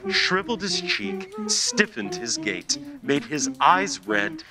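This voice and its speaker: A man narrating a radio play as announcer and storyteller, with dramatic, deliberate emphasis. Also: sits high, huge pitch swings, over music. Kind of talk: theatrical scripted storytelling.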